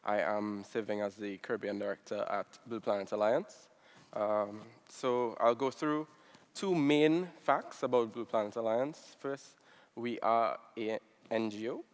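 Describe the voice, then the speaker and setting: A man from Trinidad and Tobago, giving a relaxed conference presentation with frequent ums. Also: fairly low pitch, measured pace, big-room sound. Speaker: NGO director, panel speaker.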